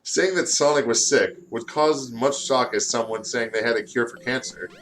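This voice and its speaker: A man's voice: mid-range voice, normal speed, fairly bright tone, a little hiss or hum, poor audio. A tired man co-hosting and reading fan fiction aloud in a casual way, stumbling over words and adding joking asides. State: tired, short of sleep.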